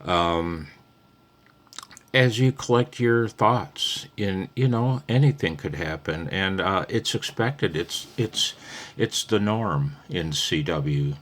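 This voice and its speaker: A man's voice: deep voice, lively intonation, slow, a bit bright. A man giving a casual, unscripted hobby tutorial, rambling conversationally as he thinks aloud.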